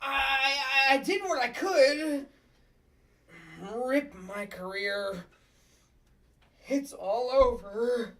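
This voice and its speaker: A woman's voice: high-pitched, drawn-out words, long pauses, fairly bright tone, recorded from a distance, heavily acted. A woman voicing a nervous, flustered male puppet district attorney in a comedic table read, sometimes stammering. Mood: panicky.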